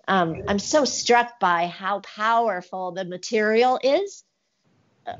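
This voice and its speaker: A middle-aged woman with a mid-pitched voice conducts a conversational interview. She muses in broken phrases, heard through slightly muffled video call audio. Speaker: interviewer, arts curator. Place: indoor room. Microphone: wired earphones on a video call.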